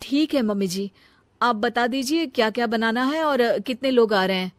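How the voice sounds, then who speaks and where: A woman's voice: a little high, moderate pace, dry recording, lightly acted. A woman playing a caring, dutiful elder daughter-in-law in a children's cartoon story, earnest and obliging.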